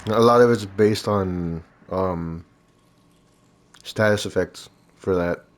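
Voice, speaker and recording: A man's voice: low-pitched, slow. A man with a congested, nasal voice gives casual gameplay commentary, rambling informally and thinking aloud as he explains. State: congested from recovering from illness. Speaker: gaming video creator and host.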